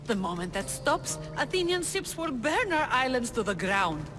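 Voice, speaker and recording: An actress playing a proud, weary rebel leader of ancient Greece in a video game, speaking in a wary, commanding, theatrical way. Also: female voice, fairly high pitch, sing-song, moderate pace. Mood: bitter. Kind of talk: dramatic video game cutscene acting. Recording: reverberant hall, music behind.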